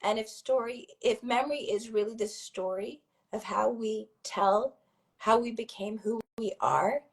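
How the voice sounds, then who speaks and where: Hoarse woman guest, higher voice, thoughtful explainer, grew up in hong kong and brazil. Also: a little slow. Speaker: guest, filmmaker. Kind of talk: interview answer.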